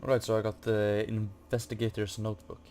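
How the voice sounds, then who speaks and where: A man hosts a casual game walkthrough in a lowish, monotone voice. He hesitates and mutters to himself as he reads from the screen. A faint fan noise runs behind him. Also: brisk. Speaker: gaming video host. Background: computer or room fan.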